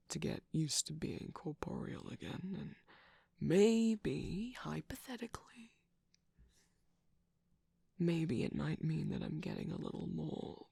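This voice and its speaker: Performer acting out smug weary trickster villain, very husky and whispery, slow teasing drawl, mock-grand, close dry sound, ASMR game-character roleplay. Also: mid-range voice, darkish tone, no room sound, full character voice. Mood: reluctant, tired. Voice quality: breathy, husky, creaky, often dropping into whisper.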